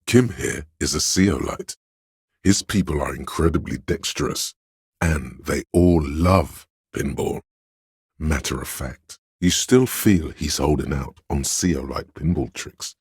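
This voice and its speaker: An extremely deep, gravel-edged scholarly voice from inside the head, narrates for a game. It is very husky and recorded dry and close. The delivery is measured and erudite, grave with a dry sardonic edge. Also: male voice, darkish tone, dry recording, heavily acted. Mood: learned, expository. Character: scholarly inner encyclopedia voice, grave, dryly musing trivia narrator.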